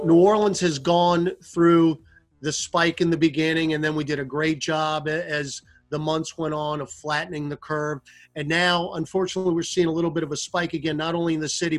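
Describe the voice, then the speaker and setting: Higher-voiced man hosting a broadcast interview. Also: little pitch movement, moderate pace, faint music behind. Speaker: podcast host, sports play-by-play announcer.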